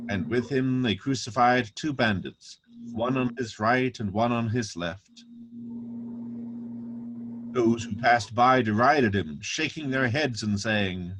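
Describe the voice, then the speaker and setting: A man narrating a dramatic scripture reading with solemn, measured, deliberate phrasing. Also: mid-range voice, noisy background.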